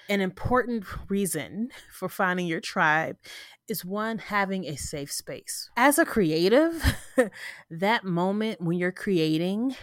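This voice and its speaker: Woman podcaster, congested and sniffly, lowish voice, loose rambling delivery, close dry mic. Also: no echo. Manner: rambling, informal, frequent "right?" asides and self-restarts. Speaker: podcast host. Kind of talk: casual solo podcast talk.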